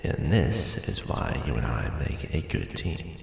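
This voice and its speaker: A man's voice: very deep voice, very animated, measured pace, creaky, background noise, exaggerated voice. A man playing a nervous, joking young ex-janitor in a roleplay audio drama, hesitant and informal. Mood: wry, cautious.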